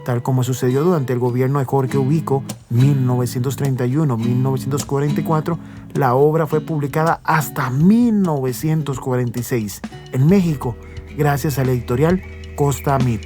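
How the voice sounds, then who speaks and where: A man's voice: mid-range voice, moderate pace. A man reading a scripted educational narration as the presenter of a radio program. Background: music, plucked guitar.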